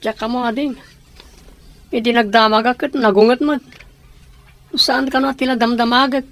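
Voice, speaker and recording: A woman playing a blunt, irritable older brother in a radio drama, with a husky voice and a sulky, brusque manner. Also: fairly high pitch, steady tone, moderate pace, a bit bright, lightly acted. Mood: irritated, sulky.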